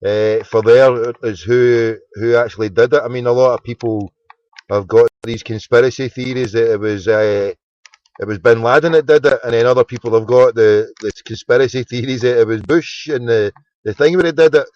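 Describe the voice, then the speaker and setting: A man's voice: mid-range voice, moderate pace, a little mellow, highs cut off. A Scottish man hosting a casual phone-in, chatty and sweary, often repeating his words. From Scotland. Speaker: phone-in host.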